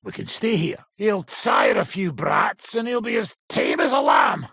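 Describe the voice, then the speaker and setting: An actor playing a gruff, cynical medieval mercenary band leader in a video game, with a gravelly, raspy voice and blunt, coarse, sardonic speech. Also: male voice, sits high, wildly swooping pitch, unhurried, pushed and bright, no room sound, clean studio sound, heavily acted. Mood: dry mockery. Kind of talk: video game character dialogue.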